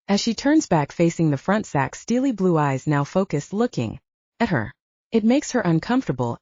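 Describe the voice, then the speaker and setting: A woman's voice: on the low side, dark tone, no room sound, highs cut off. A woman narrating an audiobook, reading scripted fiction in a dramatic storytelling style.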